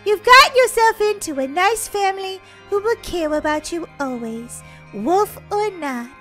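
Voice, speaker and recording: A woman's voice: extremely high pitch, animated, a little slow, bright, forceful tone, soft background music, full character voice. A woman voicing a friendly, reassuring young female wolf in a childlike game roleplay.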